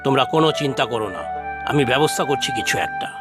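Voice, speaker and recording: A man voicing a calm, caring village council chief in a cartoon, speaking in a measured, admonishing, dignified way. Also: mid-range voice, loud music behind the voice, natural voice. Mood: earnest, reassuring. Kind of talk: Bengali cartoon dialogue.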